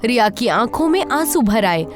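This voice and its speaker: A woman's voice: on the high side, very animated, moderate pace, over music. A woman telling a scripted horror story as narrator, in a suspenseful, theatrical manner.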